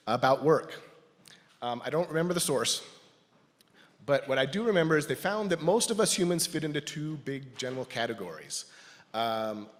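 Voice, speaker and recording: A man with a medium-pitched voice gives a personal reflection to a congregation. He speaks thoughtfully and haltingly, letting phrases trail off softly. The sound carries the long reverb of a large indoor hall. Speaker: lay speaker giving a church reflection. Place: large indoor church space.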